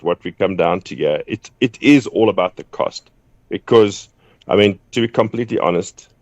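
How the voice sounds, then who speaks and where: A hoarse man with a fairly low voice talks as a radio guest over a muffled phone line. He explains in a measured way and often repeats a word as he starts a point. The sound is dry, with no room around it. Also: darkish tone, no echo. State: hoarse from flu. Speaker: guest property agent.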